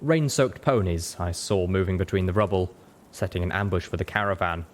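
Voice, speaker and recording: A man reading a story aloud as a dramatic audiobook narrator. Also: fairly quick. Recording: rumbling background noise.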